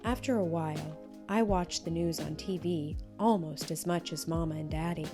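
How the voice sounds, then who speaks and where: A woman's voice: sits low, normal speed, music in the background. A woman reading a children's story expressively, as scripted narration, acting out the dialogue. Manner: animated, acts out each character's dialogue. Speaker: storybook reader.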